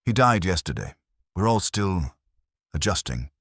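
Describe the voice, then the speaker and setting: A man's voice: low voice, sing-song, unhurried, fairly bright tone, husky, no echo, studio quality, pitched above the performer's own voice, full character voice. A man voicing a prim, guarded female receptionist in an audiobook, reserved and clipped. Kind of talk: audiobook character voice.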